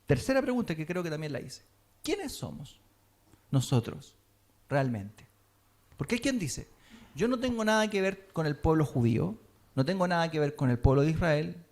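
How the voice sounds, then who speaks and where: Guy preaching a sermon, kinda high voice, keeps asking if you follow. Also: a little mellow. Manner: teacherly, checks in with listeners often. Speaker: teacher of a Messianic congregation. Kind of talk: sermon teaching.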